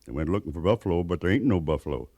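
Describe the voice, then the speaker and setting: A man's voice: low voice, lively intonation, very fast, mellow, no echo, clean studio sound. An American actor playing a plainspoken, weathered mountain man scout in a western radio drama, with a folksy rustic drawl. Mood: grave, urgent.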